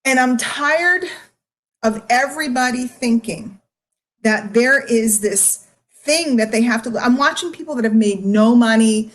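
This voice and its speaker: A middle-aged woman speaking emotionally and candidly to her online followers, confiding and emphatic, with drawn-out words and pauses. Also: on the high side. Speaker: online marketer addressing followers. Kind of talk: candid livestream monologue to followers.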